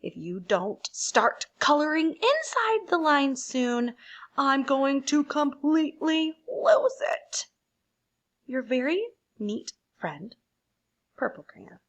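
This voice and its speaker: A prim storybook crayon, played by a woman, fusses as if close to snapping. The delivery is slow and emphatic, with pitch that jumps around. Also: big pitch swings, exaggerated voice. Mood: tense, fussy. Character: neat-freak purple crayon, touchy and near breaking point.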